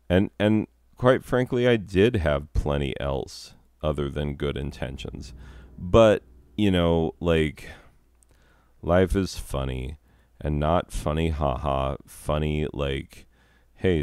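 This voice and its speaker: Very deep, slow, rambling man. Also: a little mellow, clean tone, no room sound, clean studio sound. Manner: self-deprecating, rambling, drawn-out storytelling. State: hoarse from a cold. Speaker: teacher and gaming video host. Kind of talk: rambling casual commentary over gameplay.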